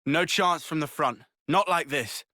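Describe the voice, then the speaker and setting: A man's voice: fairly high pitch, a little slow, pushed and bright, no echo, studio quality. A man voicing a blunt, stern young fighter in a video game, speaking tersely. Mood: grim. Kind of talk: video game cutscene voice acting.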